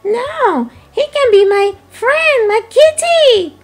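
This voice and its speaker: A woman's voice: extremely high pitch, animated, takes it slowly, soft background music, pitched above the performer's own voice, heavily acted. A woman voicing an eager, stubborn little boy baby bird in a storybook, with childlike, whiny pleading. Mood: insistent. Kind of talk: dramatized picture-book storytelling.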